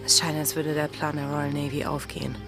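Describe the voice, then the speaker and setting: Low-voiced, haunted pirate woman. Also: little pitch movement, a little fast, vocal fry. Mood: brooding. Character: Anne Bonny, wanted female pirate, haunted, resolute lover. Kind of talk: German radio drama acting. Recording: studio radio play with prominent music.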